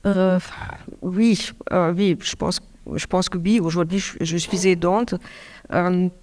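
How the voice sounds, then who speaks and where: A middle-aged woman from Germany speaking French with a German accent, giving a hesitant, halting caregiver's testimony with many restarts. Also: fairly low pitch, fairly even intonation, a little mellow. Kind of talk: public testimony interview.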